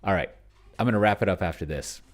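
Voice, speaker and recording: A middle-aged man thinking aloud in casual commentary while playing a tabletop game at home, halting and muttering to himself as he goes. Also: on the low side. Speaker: host of a solo roleplaying video. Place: at home, at a computer.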